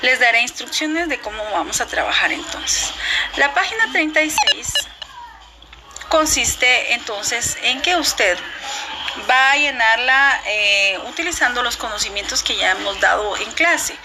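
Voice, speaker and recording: A woman teacher, giving a recorded class lesson in a clear, instructive way and repeating key words slowly. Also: a little high, big pitch swings, noisy background.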